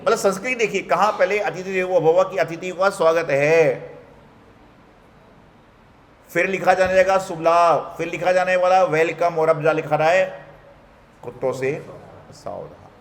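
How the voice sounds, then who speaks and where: A Jain monk giving a Hindi sermon, telling stories, asking rhetorical questions and mimicking what people say. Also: male voice, sits high, measured pace, pauses often. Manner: storytelling with rhetorical questions and mock quotes. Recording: hall reverb, faint background noise.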